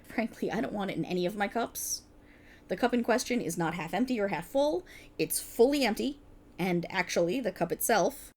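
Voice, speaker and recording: A woman's voice: lowish voice, a little mellow. A woman playing a playful, exasperated voice actor bantering casually with her best friend in an ASMR roleplay. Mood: reluctant, sardonic. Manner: sarcastic, chatty, casual, hesitant asides. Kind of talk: ASMR roleplay, one side of a conversation.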